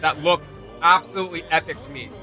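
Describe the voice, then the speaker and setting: A man giving casual, enthusiastic reaction commentary as a video host, in an excitable, slangy and emphatic way. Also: on the high side, quick pace, faint music behind.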